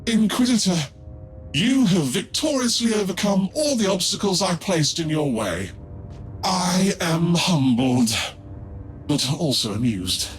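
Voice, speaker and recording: A man's voice: sits high, big pitch swings, measured pace, faint music behind. A man voicing an obsessive renegade tech-priest savant in a video game, speaking formally and theatrically with courteous menace. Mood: humbled, amused. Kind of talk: dramatic video game acting.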